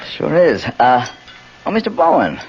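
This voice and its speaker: An actor playing an earnest, affable newspaper crime reporter who explains things at length in a radio drama. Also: male voice, on the high side, huge pitch swings, a little slow, full character voice. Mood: affable, curious. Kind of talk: old-time radio drama acting. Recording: muffled, noisy radio broadcast recording.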